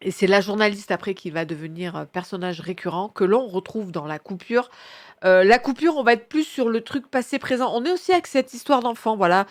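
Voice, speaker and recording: A woman's voice: mid-range voice, expressive, a little fast. A woman with a head cold gives casual, enthusiastic book recommendations in a slangy, rambling way, often correcting herself. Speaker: book reviewer presenting her reading picks.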